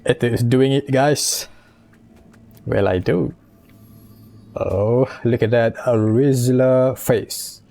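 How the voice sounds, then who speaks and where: A game streamer giving casual let's-play commentary and reactions in a teasing, halting way. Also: mid-range voice, fairly even intonation, vocal fry, warm tone.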